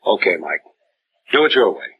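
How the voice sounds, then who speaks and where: An actor in an old-time radio drama playing a steady, plain-spoken league troubleshooter who probes like a concerned friend. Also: male voice, deep voice, normal speed. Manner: plain-spoken, direct, clipped. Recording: dull, noisy old radio recording.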